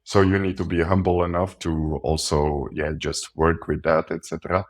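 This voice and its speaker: Very deep-voiced man, flat delivery. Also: normal speed. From coastal Belgium. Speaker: guest, sales account executive. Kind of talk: casual interview talk.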